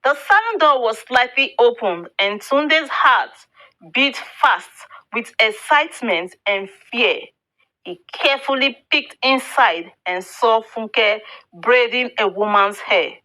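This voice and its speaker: A woman narrating a scripted folktale in a deliberate, expressive storybook manner. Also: on the high side, poor audio.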